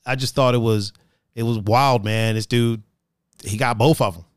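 A man hosting a casual chat show with a raspy voice, talking in a laid-back, profane, joking way and reading out chat comments. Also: medium pitch, fairly even intonation, normal speed, no room sound, clean studio sound. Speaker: podcast host.

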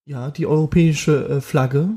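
Casual podcast co-host, man, slightly high, husky voice, rambling and jokey. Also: steady tone, normal speed, dark tone. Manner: rambling, jokey, trails off mid-sentence. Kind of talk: casual chat.

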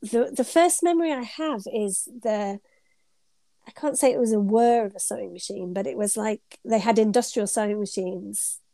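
A woman's voice: mid-range voice, normal speed, vocal fry, dark tone, no echo. A middle-aged British woman with a cold, sounding stuffed up as she chats as an interview guest, telling rambling stories full of ums and laughs. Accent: British English. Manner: chatty, rambling storyteller with frequent ums and laughs. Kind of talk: casual conversational interview.

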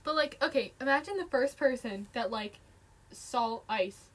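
A woman's voice: sits high, animated, a little slow, fairly bright tone. A woman co-hosting a casual podcast, chatting in a rambling, playful way as she hops between silly topics. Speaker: podcast co-host. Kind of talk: casual chat.

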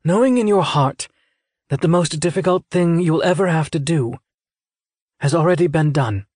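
A man voicing a stern, composed senior examiner in a narrated story, speaking in a measured, solemn, insistent way. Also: fairly high pitch, lively intonation, darkish tone, no echo, studio quality, voice pushed up. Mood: solemn encouragement.

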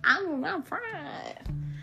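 A woman's voice: sits high, huge pitch swings, very slow, creaky, dull audio. A woman of thirty hosts a solo podcast in a loose, chatty way. She leans hard on repeated phrases to drive each point home. Music plays steadily underneath her voice. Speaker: podcast host. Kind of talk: casual solo podcast talk.

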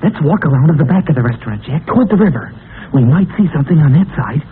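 A man's voice: fairly high pitch, quick pace, lightly acted. An actor playing an eager, jumpy teenage boy who says gee-whiz things, in a 1940s radio serial on a tinny, hissy old recording. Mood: hushed. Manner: excitable, gee-whiz exclamations.

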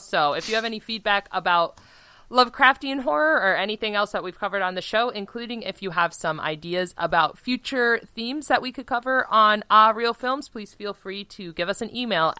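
A woman co-hosting a casual podcast, animated and chatty, with a loud voice, filler-heavy talk and frequent laughs. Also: mid-range voice, clear, resonant tone, no echo. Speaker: podcast co-host.

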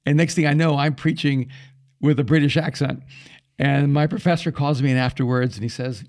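A middle-aged man from El Salvador, a pastor whose first language is Spanish, chats on a podcast with a slightly gravelly, fry-edged voice. He tells stories animatedly, acting out the people he quotes in them. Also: a little high, brisk. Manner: animated storyteller with quoted asides. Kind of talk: casual conversation.

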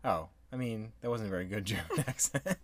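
A man's voice: fairly low pitch, lively intonation, fairly quick. A man co-hosting a podcast in casual chat, teasing his co-host and laughing often.

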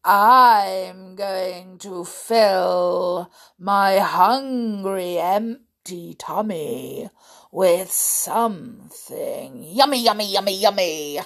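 A woman voicing a huge, greedy, wicked male crocodile in a storybook, boastful and savouring the words slowly. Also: mid-range voice, creaky, a bit bright, heavily acted. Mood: gleeful, greedy.

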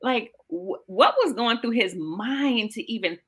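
A woman hosting a podcast in a casual, conversational way, expressive and emphatic as she talks things over with a guest. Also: fairly high pitch, a little slow, breathy edge. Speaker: podcast host.

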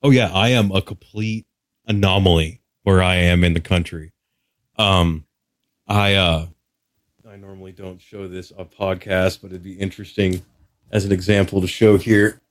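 A man's voice: low voice, fairly even intonation, moderate pace, dry recording. A man from Texas chatting casually as a podcast guest, with a candid, wry, casually profane way of talking. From Texas, United States. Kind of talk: casual interview chat.

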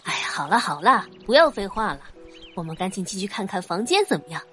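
An actress playing a detective's middle-aged wife in an audio drama, nagging and teasing with exasperated, drawn-out emphasis. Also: female voice, mid-range voice, sing-song, a bit bright, faint music behind. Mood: dismissive. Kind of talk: multi-cast audio drama acting.

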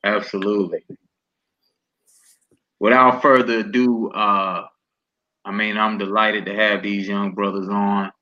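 A man co-hosting a podcast, introducing guests in a relaxed, casual way. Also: fairly low pitch, measured pace, long pauses. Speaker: podcast co-host. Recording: dull lo-fi line.

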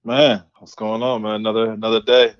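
A man's voice: on the low side, little pitch movement, brisk, no echo, highs cut off. A man co-hosting a casual sports podcast over a call, laid-back and slangy, laughing easily. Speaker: podcast co-host. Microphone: remote call.

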